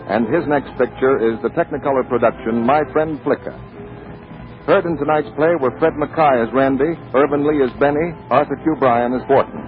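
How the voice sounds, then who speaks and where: A man reading formal announcements in the style of 1940s network radio. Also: medium pitch. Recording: tinny old radio broadcast.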